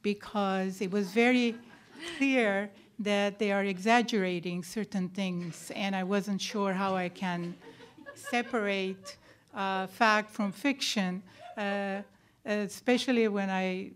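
An older woman speaks at a panel in a lecture room, telling her own story as an academic. Her voice sits at a medium pitch for a woman. She talks deliberately and leans on key words for emphasis, speaking into a stand microphone on the table. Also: fairly even intonation, clean tone. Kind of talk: personal account at a panel talk.

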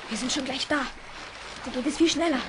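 A performer playing an eager, loyal young boy sidekick in a German children's radio play, excitable in manner. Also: high-pitched, a little fast, noisy background, lightly acted. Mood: excited.

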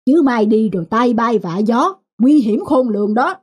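A woman's voice: fairly high pitch, expressive, normal speed, a little mellow, husky. A woman voicing a superstitious, stubborn middle-aged male householder in a folk-tale cartoon, fussy, with music behind.

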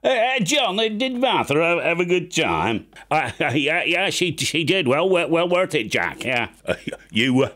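A man doing a stammering, high-voiced old radio ham. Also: big pitch swings, normal speed, bright, forceful tone. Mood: chummy, dithering. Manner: stammering, hesitant, rambling.

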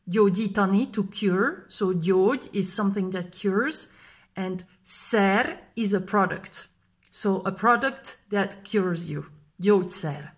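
A woman in her thirties teaching a language lesson to camera, repeating each phrase slowly and clearly, filmed close up indoors. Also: medium pitch. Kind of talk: slow vocabulary lesson. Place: indoors in front of a plain gray wall. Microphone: close camera framing, face fills frame.

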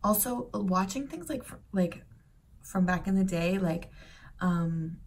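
A woman in her thirties, born in Serbia and raised in Canada and the United States, chatting casually to camera from a bright room at home, rambling with lots of fillers and asides. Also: mid-range voice, expressive, takes it slowly. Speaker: vlogger.

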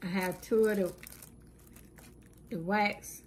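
A woman's voice: mid-range voice, steady tone, slight background noise. A woman, hosting her channel and casually listing her groceries one by one with frequent fillers, recorded at home off camera. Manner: plain, unhurried listing with many fillers. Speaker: channel host. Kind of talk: casual grocery haul narration.

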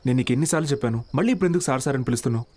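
An actor playing a good-natured young junior teacher in a film, talking casually. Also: male voice, medium pitch, rapid-fire, a little mellow, natural voice. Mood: earnest.

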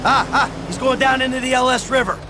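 A man's voice: very high-pitched, a little fast. An actor playing a jaded middle-aged criminal and father in a video game, sardonic and exasperated. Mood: sarcastic, exasperated. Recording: loud traffic and engine noise behind.

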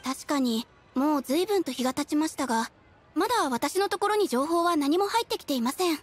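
A woman voicing a polite, gentle young receptionist in an anime-style game, speaking formally and courteously. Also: sits high, animated, a little fast. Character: polite, gentle young female guild receptionist in maid uniform. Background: background music.

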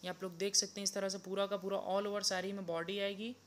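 A young man showing sarees in his shop, walking through each item with a steady, repetitive sales pitch while he films on a handheld phone. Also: fairly quick. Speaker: saree seller. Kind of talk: product showcase sales pitch.

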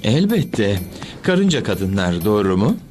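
A man's voice: lowish voice, sing-song, takes it slowly, darkish tone, faint music behind. A man voicing a big, goofy, good-natured cartoon superhero in a hammy, awkward manner. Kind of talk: Turkish cartoon dub acting.

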